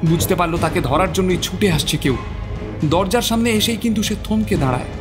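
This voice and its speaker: High-pitched male horror narrator over music. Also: animated, a little fast. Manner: theatrical, suspenseful. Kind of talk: dramatic scripted horror story narration.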